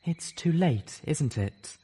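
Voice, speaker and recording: A man voicing a refined, fragile unicorn mare healer who speaks softly and pleadingly. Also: on the high side, expressive, slow, husky, pitched above the performer's own voice, exaggerated voice. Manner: soft, pleading.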